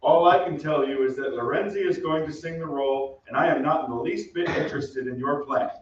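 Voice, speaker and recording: A man playing a formal, condescending police inspector in an old-time radio drama, speaking in a measured way. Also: a little high, lightly acted. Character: dry, formal police inspector, rival suitor. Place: theatre stage. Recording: very echoey stage, distant, dull.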